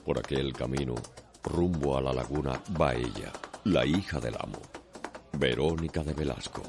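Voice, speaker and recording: A man narrating a radio soap opera in a solemn, deliberate, poetic storytelling style. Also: a bass voice, over music.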